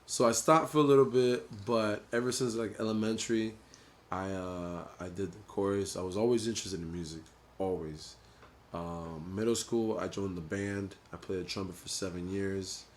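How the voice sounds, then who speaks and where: A man with a Honduran family background, telling his story in a laid-back, low-key casual chat. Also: moderate pace, slight background noise. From Honduran family, grew up in the US. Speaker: DJ and producer, guest.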